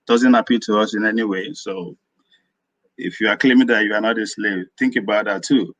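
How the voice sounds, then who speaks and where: A man's voice: lowish voice, steady tone, a little fast, a bit bright, dry recording. Nigerian guy calling in, rambles and repeats himself, dry muffled sound. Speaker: caller. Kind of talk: live audio room discussion.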